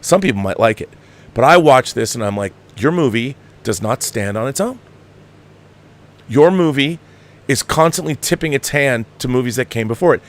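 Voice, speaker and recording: A man's voice: mid-range voice, lively intonation, moderate pace. A man giving opinionated, conversational film commentary as a show host, emphatic and exasperated, repeating himself for emphasis.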